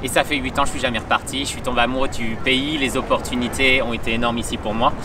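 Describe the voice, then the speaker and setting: A man from Normandy, co-owner of a travel agency, explaining his business in a steady, pitchlike way. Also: quick pace. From France, Normandy. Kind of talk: interview answers. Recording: distant mic with outdoor street noise.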